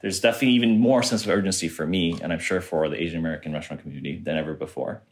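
A young adult man gives a thoughtful interview answer and pauses now and then on fillers. His voice is extremely low. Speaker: podcast guest.

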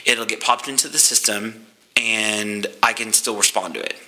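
A man's voice: on the low side, steady tone, normal speed, pushed and bright, poor audio. A man talking about his business in a casual, enthusiastic, playful way. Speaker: podcast guest, photo booth business owner.

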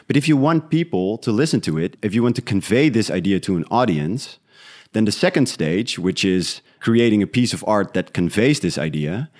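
A man's voice: lowish voice, darkish tone. A man from the Netherlands talking casually and reflectively as an interview guest about creative work.

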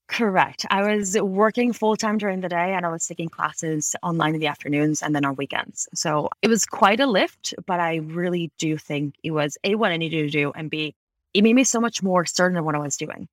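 Fast-talking woman from Ecuador. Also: on the low side, dry recording. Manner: fast, articulate, frequent self-corrections. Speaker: podcast guest. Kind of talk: conversational interview. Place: home office, Bay Area.

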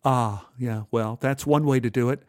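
A man's voice: medium pitch, fairly even intonation, moderate pace, darkish tone, dry recording, studio quality, close to an everyday voice. A man voicing an easygoing, unimpressed American waitress in a story, speaking casually and matter-of-factly. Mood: unfazed, friendly.

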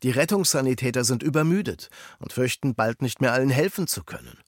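An actor playing an earnest, polite, persuasive everyman in a German children's audio drama, measured in his delivery. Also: medium pitch, lively intonation, no echo, studio quality. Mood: pleading, serious.

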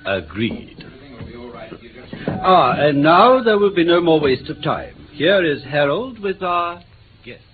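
Unctuous middle-aged male villain, fairly high voice, swooping intonation, tinny vintage radio drama. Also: very animated, slow, faint music behind. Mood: oily, sinister. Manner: oily, coaxing, repetitive politeness. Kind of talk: mid-20th-century British radio play acting. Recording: tinny, slightly noisy old radio recording.

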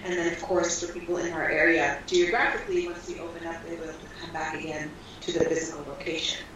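A woman's voice: low-pitched, level tone, fast, a bit bright. A woman guest describing her school in flowing, earnest interview answers with frequent ums, heard from far off in a very echoey, noisy room.

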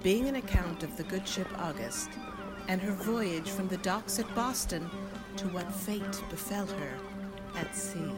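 A woman announces a radio drama in scripted narration. Her voice is a little low and plays over loud music. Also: unhurried, hardly any pauses. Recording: loud music and sounds behind.

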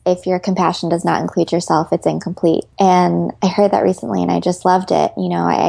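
A chatty woman from Chicago answers interview questions with an easy, friendly flow, filling her talk with "like" and "you know" and breaking into laughs. Her voice carries a gravelly fry, and the recording sounds dry and close. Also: on the low side, a little mellow, dry recording. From Chicago, US Midwest. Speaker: guest, actress.

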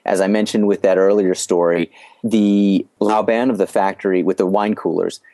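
A man speaking as an interview guest, explaining business lessons in a measured way, often restarting his phrases. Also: low-pitched, dark tone, no echo. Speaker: guest sharing business experience. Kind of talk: podcast interview storytelling.

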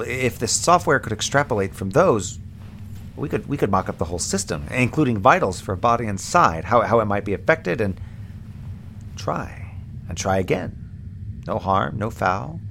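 A man's voice: lowish voice, vocal fry, a bit bright, a little hiss or hum. An actor playing a frustrated lone moonbase crewman in a sci-fi audio drama, wry and rambling as he thinks aloud.

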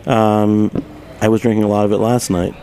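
A man's voice: lowish voice, level tone, moderate pace, dark tone, poor audio. A man speaking as a guest in a casual conversation about his trade. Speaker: guest, craft beer expert. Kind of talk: casual chat.

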